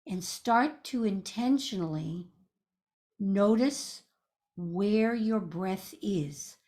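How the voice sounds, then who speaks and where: A woman leading a guided meditation as a teacher, speaking in a gentle, soothing, measured way with pauses. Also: mid-range voice, expressive.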